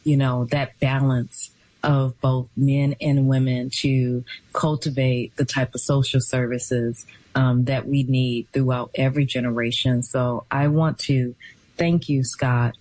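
A woman hosting a call-in radio talk show, speaking in an affirming, unhurried way. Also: a bass voice, flat delivery, a little mellow, no echo, low-quality recording.

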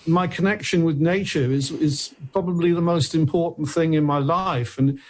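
A man's voice: a little high, fairly even intonation, moderate pace. A man from Wales, a radio guest talking earnestly with many restarts. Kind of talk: radio interview.

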